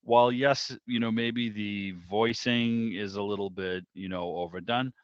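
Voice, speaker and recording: A man with a fairly low voice chats as an interviewer. He rambles and circles around his point in a casual way. The sound is a slightly muffled video call with some room to it. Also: steady tone, unhurried, clean tone, no room sound. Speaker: interviewer, club meeting host.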